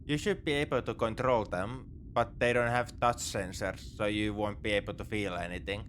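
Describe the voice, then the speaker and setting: A man's voice: on the low side, steady tone, moderate pace, a bit bright, slight background noise, lightly acted. A man playing a wry, morally grey space pirate in a sci-fi ASMR roleplay, with a conversational, nonchalant, dryly teasing delivery. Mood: nonchalant, practical. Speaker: audio roleplay performer.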